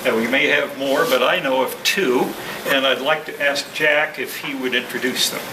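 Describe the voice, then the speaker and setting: Guy chairing a club meeting, mid pitch, stop-start formal delivery, far from the mic with room noise. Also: fairly even intonation. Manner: halting, measured, formal. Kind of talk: prepared address to a club meeting. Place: indoor meeting room with ventilation hum. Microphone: distant room microphone. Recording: distant mic, echoey room, clear background noise.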